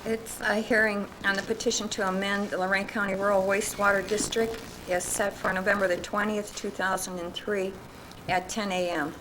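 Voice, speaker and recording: A woman chairing a county meeting, reading out items in a brisk, procedural way. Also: medium pitch, flat delivery, background noise.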